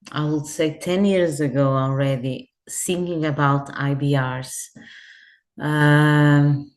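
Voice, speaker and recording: A middle-aged woman with a Portuguese accent, answering interview questions thoughtfully, often restarting phrases as she searches for words. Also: deep voice. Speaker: guest, head of customer services. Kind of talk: remote interview.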